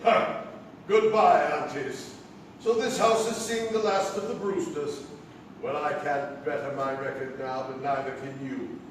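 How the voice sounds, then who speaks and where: An actor playing a mean, gloating criminal who speaks with drawn-out sinister sarcasm in a live radio comedy. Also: male voice, a little high, fairly even intonation, close to an everyday voice. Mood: menacing, gloating. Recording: echoey stage, distant mic, faint noise.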